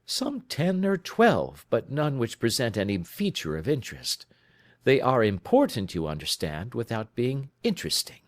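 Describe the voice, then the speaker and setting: A man voicing a keen, lofty male detective in an audiobook, crisp and lecturing in manner. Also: medium pitch, no echo, studio quality, voice pushed up, lightly acted. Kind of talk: audiobook character reading.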